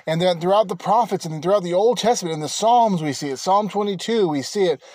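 A man hosting a Bible teaching podcast from inside his car, talking in a halting way with many fillers and restarts. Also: high voice, darkish tone, no echo. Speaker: podcast host and preacher.

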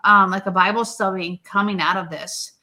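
A woman's voice: mid-range voice, fairly even intonation, moderate pace, bright, forceful tone. A woman speaking spontaneous prophetic encouragement as a minister on an online livestream.

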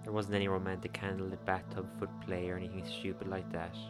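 A man performs a young man looking back on a doomed love affair in a dark-comic radio play. His voice is low, his storytelling wistful and plain-spoken, blunt even when the language turns poetic. Music plays clearly behind him. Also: quick pace. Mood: frank.

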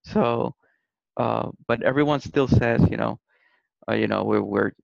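A man's voice: mid-range voice, a little slow, vocal fry, no echo. A man from Rico, a podcast guest talking hesitantly with many fillers and repeated words. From Puerto Rico. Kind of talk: casual conversation. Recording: dull, dry lo-fi sound.

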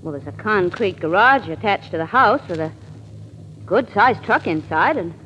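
Actress as sceptical young female sidekick, medium pitch, wry and sensible, 1940s-style radio drama, dull noisy recording. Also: animated, moderate pace, fairly bright tone, natural voice. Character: sceptical, dry-witted young woman, the sleuth's sidekick. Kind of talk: old-time radio drama acting.

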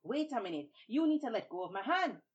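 A woman voicing Babuki, a boastful, hot-tempered male trickster who shouts indignant challenges. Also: on the low side, unhurried, close to an everyday voice. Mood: vexed. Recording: dull lo-fi recording.

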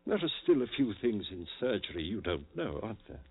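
An actor playing an obsessed, commanding surgeon-inventor in an old-time radio horror drama, intense and theatrical. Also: male voice, fairly low pitch, very animated, brisk, husky, phone-quality sound, heavily acted. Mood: confident, lecturing. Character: obsessed surgeon-inventor reviving the woman he loves.

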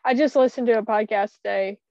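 A woman's voice: on the high side, a little fast, darkish tone. A young woman with a light Louisiana accent co-hosting a podcast, adding wry, teasing comments. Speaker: podcast co-host. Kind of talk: casual chat. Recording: dry, slightly muffled recording.

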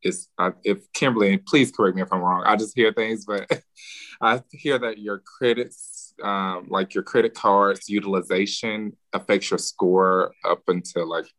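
Very deep, bright-toned man. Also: a little slow, dry recording, poor audio. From Birmingham, Alabama. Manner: relaxed, explanatory advice giving. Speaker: guest, real estate agent. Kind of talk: casual podcast interview.

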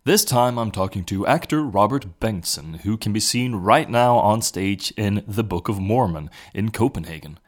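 Host: Swedish man, lowish voice, relaxed podcast interviewer, lots of mm-hmms. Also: normal speed, hardly any pauses. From Sweden. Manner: brief encouraging backchannels. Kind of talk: casual interview conversation.

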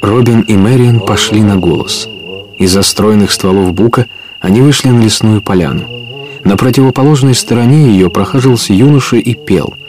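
Male narrator, fairly low voice, scripted storybook reading, radio play. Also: normal speed, noisy background.

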